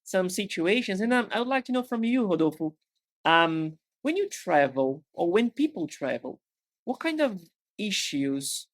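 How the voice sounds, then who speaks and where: Young brazilian man, american-leaning accent, fairly high voice, dry close mic, relaxed talk with many ums. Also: moderate pace, no echo. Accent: Brazilian, American-leaning English. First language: Portuguese. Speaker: podcast co-host. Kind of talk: casual podcast chat in English.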